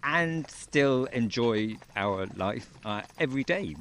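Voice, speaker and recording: A man's voice: mid-range voice, lively intonation, slow pace, a bit bright. A man who grew up in Wales, talking about his farm in an easygoing way with dry humour during an outdoor interview. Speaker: interviewee, farmer and beekeeper. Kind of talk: relaxed outdoor interview chat. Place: outdoors on a farm, in a horse-drawn carriage. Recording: faint outdoor noise, geese nearby.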